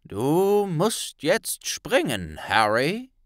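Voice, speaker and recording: A narrator voicing an unbothered old male wizard professor with a measured manner in an audiobook. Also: mid-range voice, huge pitch swings, dry recording, studio quality, pitched above the performer's own voice, exaggerated voice. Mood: commanding. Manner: measured, unhurried.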